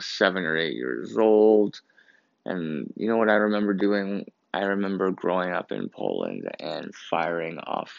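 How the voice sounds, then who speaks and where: A man's voice: deep voice, creaky, no echo, lo-fi sound. A young Canadian man who wears braces, hosting his own podcast and rambling through a casual story. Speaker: podcast host. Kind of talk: casual solo podcast monologue.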